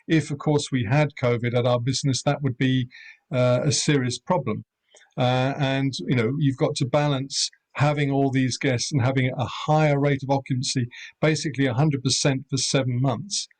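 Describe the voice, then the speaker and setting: A middle-aged man from Europe talks at a measured, unhurried pace. He pauses often and fills the gaps with ums and uhs. His voice sits at an ordinary middle pitch. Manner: measured, hesitant, many fillers. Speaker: rural accommodation owner. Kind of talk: webinar panel talk.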